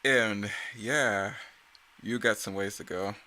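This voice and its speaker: A man's voice: lowish voice, takes it slowly. A man giving casual, off-the-cuff creator commentary in a rambling, self-correcting way. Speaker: creator giving commentary on own motion comic series.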